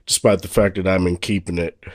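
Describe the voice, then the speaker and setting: Young male tech vlogger, very low voice, slightly sore, casual and rambling, dry room. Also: fairly quick, dry recording. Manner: relaxed, rambling, many fillers and restarts. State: slightly sore voice after illness. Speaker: tech reviewer. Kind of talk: casual hands-on phone review. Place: indoors at a table, off camera.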